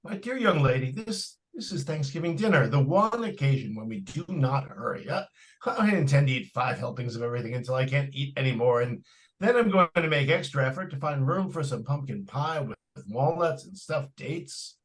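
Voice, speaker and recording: A man's voice: mid-range voice, lively intonation, normal speed, natural voice. A man playing an indulgent but firm father in old-time radio drama, patronizing and a little stammering. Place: live stage radio-play performance.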